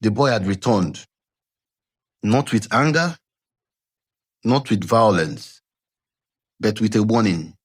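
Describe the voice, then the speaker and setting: A man narrating a scripted folktale dramatically, with measured suspense and deliberate pauses, as a storyteller. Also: fairly low pitch, animated, slightly rough. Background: background music.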